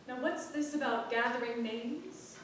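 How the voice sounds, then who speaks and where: A woman playing a composed, caring townswoman in a staged reading of a historical play, gentle and wry. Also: sits high, a little slow. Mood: wry, questioning. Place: theatre stage, recorded from the audience. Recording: echoey hall, distant mic, audience noise.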